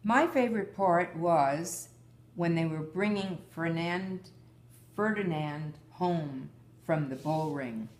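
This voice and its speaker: An older woman, a mother reading a children's story aloud in a measured, deliberate way. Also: on the low side, a little mellow.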